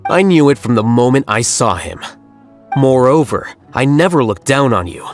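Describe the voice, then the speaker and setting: A man voicing a cool, aloof young scholar who speaks in a calm, detached and analytical way. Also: medium pitch, moderate pace, faint music behind. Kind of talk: game voice acting.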